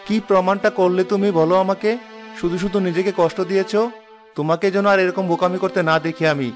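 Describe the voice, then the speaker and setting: A man's voice: sits high, fairly even intonation, fast talker, lightly acted. A man playing a busy, impatient rich husband in a cartoon fairy tale, lecturing and dismissive. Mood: stern, scolding. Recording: music behind the voice.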